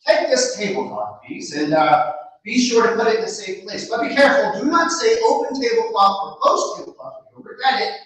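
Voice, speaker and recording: A man voicing a boastful, excitable young man who shouts and lilts his exclamations, recorded from far off in an echoey room. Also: sits high, brisk, voice pushed up. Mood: cautioning. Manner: shouting, sing-song exclamations.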